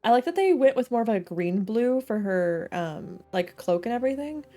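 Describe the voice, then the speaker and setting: A woman's voice: on the high side, animated, moderate pace, mellow. A woman live-streaming a video game, chatting casually in a rambling, reactive way. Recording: game music behind the voice.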